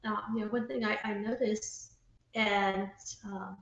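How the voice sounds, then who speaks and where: A woman guest in a casual conversation, explaining her thoughts haltingly, with pauses between phrases. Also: mid-range voice, steady tone, creaky, lo-fi sound. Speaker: interview guest, writer. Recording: very echoey, roomy sound.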